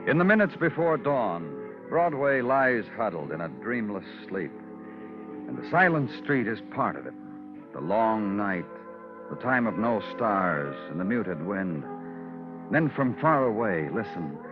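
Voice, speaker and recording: A low-voiced, world-weary American police detective from radio noir, brooding and poetic, with lively swings in his delivery. The old broadcast sounds muffled. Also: measured pace, music in the background. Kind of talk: 1950s radio noir acting.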